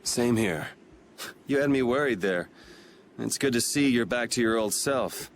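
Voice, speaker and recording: A man's voice: medium pitch, measured pace, slight background noise, close to an everyday voice. An actor playing a blunt, cool-headed and defiant young swordsman in a fantasy video game. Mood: relaxed. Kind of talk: English game dub acting.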